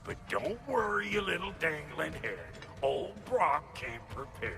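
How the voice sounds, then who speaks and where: Cranky game dwarf, twang, gravelly, crude, highish pitch that swoops everywhere. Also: male voice, sing-song, a little slow, music in the background, heavily acted. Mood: cocky, grumbling. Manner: crude, folksy, blustering. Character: brash, foul-mouthed, cantankerous male dwarf blacksmith. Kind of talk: animated video game acting.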